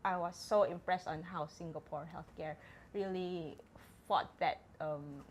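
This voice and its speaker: A woman's voice: lowish voice, steady tone, a little hiss or hum. Earnest Filipino woman sharing a story. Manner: earnest, halting with fillers. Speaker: nurse guest. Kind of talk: casual podcast chat.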